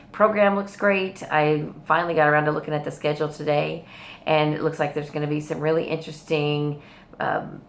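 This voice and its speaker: Low-pitched woman, casual meandering vlog chat. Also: echoey room. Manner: hesitant, meandering, trailing off and backtracking. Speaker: book vlogger. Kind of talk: casual rambling video chat. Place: hospital room.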